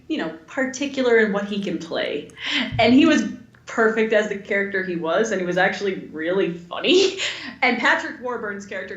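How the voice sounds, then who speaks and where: Chatty woman podcaster w/ bright voice, animated movie talk, kinda echoey room. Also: fairly high pitch, muffled recording. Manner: animated, chatty, acts out imagined quotes. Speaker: podcast co-host. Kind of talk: casual film chat.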